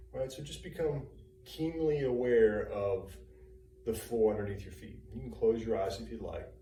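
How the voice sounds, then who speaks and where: Male meditation guide, dark relaxed tone, calm spaced-out phrasing; roomy, distant recording with faint background hum. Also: medium pitch. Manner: calm, unhurried, soothing, with pauses between short phrases. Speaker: personal trainer leading guided meditation. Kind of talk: guided meditation, gentle spoken instruction.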